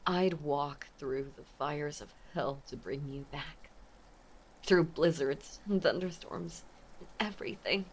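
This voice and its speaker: A woman playing an obsessive, possessive mafia heiress ex-girlfriend in a dramatic audio roleplay, with intense, menacing, theatrical delivery and a breathy voice. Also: sits low, slow, a little hiss or hum. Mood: angry, possessive. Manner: intense, menacing, theatrical, swinging between hush and outburst. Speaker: voice actor.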